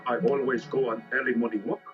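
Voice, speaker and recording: An actor playing a superstitious, cautious male desert guide who speaks halting, ominous broken English in a children's story. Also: medium pitch, steady tone, close to an everyday voice. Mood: concerned. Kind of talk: children's audio story acting. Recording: muffled lo-fi tape, music behind.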